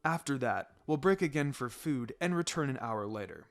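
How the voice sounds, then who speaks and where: A man voicing a stern ninja teacher who gives instructions in a measured, pleasant, faintly menacing way. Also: medium pitch, lively intonation, pitched below the performer's own voice, natural voice. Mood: calm, instructing. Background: background music.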